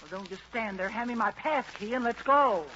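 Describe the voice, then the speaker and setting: A woman's voice: fairly high pitch, brisk, natural voice. A woman playing a prim, melodramatic, scolding landlady in old radio comedy style. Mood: mock indignant. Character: indignant, prim theatrical landlady, secretly game. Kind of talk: 1940s-50s radio comedy acting. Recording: muffled, noisy old radio recording.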